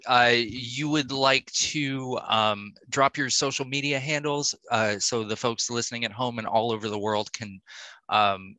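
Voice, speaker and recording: A man hosting a podcast interview casually, setting up his questions in a deliberate way. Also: mid-range voice, sharp tone, dry recording, rough recording. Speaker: podcast host.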